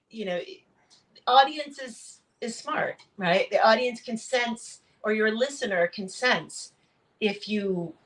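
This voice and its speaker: A woman's voice: mid-range voice, fairly bright tone, rough recording. Reflective, earnest woman in conversation. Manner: earnest, reflective, often tags points with "right?". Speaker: guest voice actor, audiobook narrator. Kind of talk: casual interview conversation.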